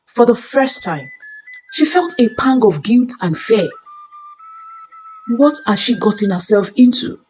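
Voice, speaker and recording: Lady reading a dramatic story, really expressive pitch, bit of music under her. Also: measured pace, long pauses. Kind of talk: scripted dramatic story narration.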